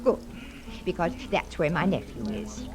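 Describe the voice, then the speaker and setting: A woman's voice: lowish voice, lively intonation, normal speed, lightly acted. An actress playing a wealthy, slightly tipsy woman over fifty in a 1940s radio drama, fussy, sardonic and imperious in a theatrical way. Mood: brisk. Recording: vintage 1940s radio, music behind.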